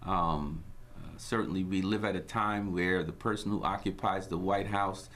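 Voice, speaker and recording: A very deep-voiced man from Guyana hosts his own broadcast. He talks in a measured, sermon-like cadence, weaving in scripture and uplifting sayings. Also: fairly even intonation, a bit bright, slight background noise. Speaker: host. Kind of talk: inspirational broadcast talk.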